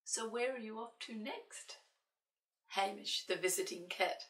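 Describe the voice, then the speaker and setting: A woman reading a picture book aloud to children as an expressive storyteller. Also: medium pitch, a little slow. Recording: roomy, distant microphone.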